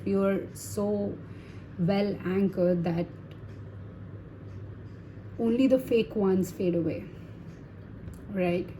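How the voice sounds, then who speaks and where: Woman, tarot reader, native Hindi speaker; casual, reassuring chat, mid-pitched mellow tone. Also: a little hiss or hum, low-quality recording. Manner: chatty, reassuring, many fillers and tag questions. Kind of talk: casual tarot reading to viewers.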